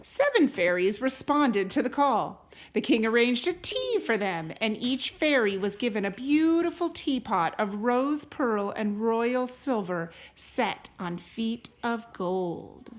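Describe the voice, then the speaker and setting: Woman reading a storybook aloud, higher-pitched. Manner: deliberate and expressive, pausing to question listeners. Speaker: teacher reading aloud to a class.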